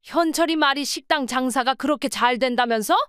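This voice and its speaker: A woman's voice: sits high, fairly quick, fairly bright tone, clear, resonant tone, no room sound, clean studio sound. A woman playing a greedy, nagging older mother-in-law in a radio drama, in a reproachful, demanding manner.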